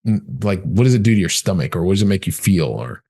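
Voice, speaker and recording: A man's voice: low-pitched, very animated, fast, dark tone, breathy edge. A man hosting a podcast, asking questions thoughtfully while searching for his words. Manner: thoughtful, searching for words, many affirming murmurs. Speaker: podcast host. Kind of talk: casual interview talk.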